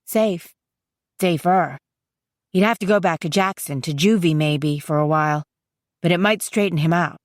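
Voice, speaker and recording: A woman voicing a calm, supportive young college man in an audiobook, quietly thoughtful, gentle and measured. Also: no room sound, clean studio sound, natural voice. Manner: low-key, gentle, measured. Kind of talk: dramatised audiobook dialogue.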